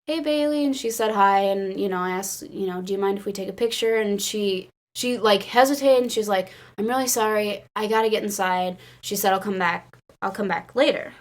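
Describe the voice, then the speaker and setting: An American woman casually telling excited personal stories in an animated, giggly way, peppering her speech with "like". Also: mid-range voice, a little fast. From Illinois, United States. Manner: animated, giggly, says "like" often. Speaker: podcast crew member telling fan stories.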